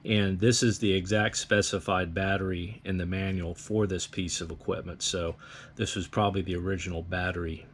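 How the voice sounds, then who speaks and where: A man's voice: low voice, steady tone, normal speed, slight background noise. A man giving a plain, methodical, step-by-step instructional demonstration in a matter-of-fact way. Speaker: host of radio equipment demonstration.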